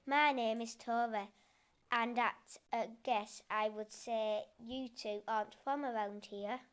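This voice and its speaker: A woman's voice: a little high, steady tone, takes it slowly, clear, resonant tone. A woman voicing a mischievous, lazy female cat in a storybook reading, with a purring, teasing manner.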